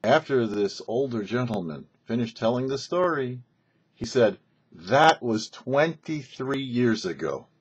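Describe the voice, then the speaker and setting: A man's voice: medium pitch, lively intonation, a little slow, breathy edge. An American man hosting and narrating a religious story in an anecdotal way, acting out bits of dialogue. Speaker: host and storyteller. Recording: slightly dull lo-fi recording.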